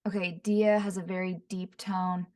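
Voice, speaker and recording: A woman's voice: on the low side, little pitch movement, moderate pace, darkish tone. Woman voice actor, set back from mic. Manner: explains voices in short descriptive phrases, often breaking into character demos. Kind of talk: voice impression tutorial. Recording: voice somewhat far from the mic.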